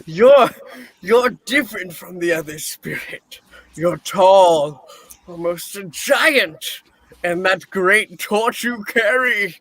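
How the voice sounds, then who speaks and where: Woman playing a slow, pleading old miser, bright-voiced. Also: mid-range voice, low-quality recording. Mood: awed. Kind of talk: amateur live play reading.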